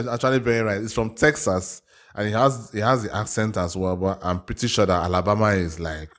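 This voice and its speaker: A man with an African accent talks as the host of a reaction video. His voice sits on the low side. He works through his thoughts out loud, hesitating and circling back over his own phrases in a casual way. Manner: hesitant, repetitive, thinking aloud.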